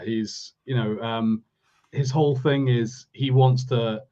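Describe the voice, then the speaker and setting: A man with a medium-pitched voice chats casually as a podcast co-host. He works through his points in a rambling, questioning manner. The audio is somewhat dull and lo-fi. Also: a little mellow. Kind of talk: casual review chat.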